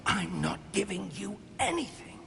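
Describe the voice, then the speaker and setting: An older British wizard professor in a fantasy game, scholarly and earnest. His voice is gravelly and sweeps widely in pitch, with careful, articulate phrasing full of wonder. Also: sing-song, unhurried, music in the background, heavily acted. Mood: defiant. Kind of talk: dramatic video game acting.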